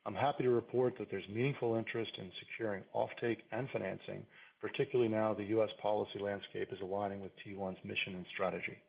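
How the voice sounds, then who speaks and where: Husky gravelly guy reading company finance stuff on a conference call, measured and formal, bit muffled. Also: on the low side, fairly even intonation. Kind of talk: scripted earnings call presentation. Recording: slightly dull conference call audio.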